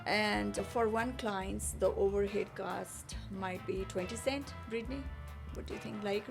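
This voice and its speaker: A woman from Nepal, a guest spa owner, talking in a casual interview in an earnest way, often pausing to search for words. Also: mid-range voice, fairly even intonation, gravelly, over music.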